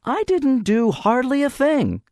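A tense teenage boy tries to sound easy and jokey, his voice pushed up and strained, as a male narrator puts him on. The bluster is loud and offhand. Close, dry audiobook read. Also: extremely high pitch, wildly swooping pitch, measured pace, no room sound, voice pushed up, heavily acted. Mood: nervous joking. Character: tense teenage boy forcing jokes under pressure.

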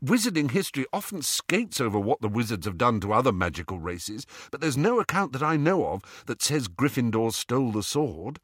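A man's voice: mid-range voice, very animated, a little fast, hardly any pauses, breathy edge, no echo, studio quality, pitched above the performer's own voice. A man voicing an earnest, articulate teenage witch girl who reasons things out, in an audiobook. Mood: earnest, reasoning. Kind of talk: audiobook character voice.

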